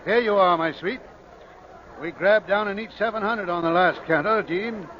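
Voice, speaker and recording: An actor plays an older gentleman card sharp, shrewd and fatherly, in a very high-set male voice. The 1940s radio play sounds muffled, with faint noise. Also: moderate pace, close to an everyday voice. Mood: breezy.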